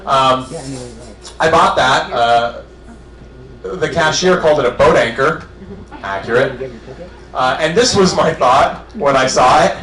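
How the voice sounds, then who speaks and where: Wry New England comic in echoey club. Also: male voice, medium pitch, sharp tone. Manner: wry, self-deprecating punchlines. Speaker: stand-up comedian. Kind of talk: stand-up set. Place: comedy club stage.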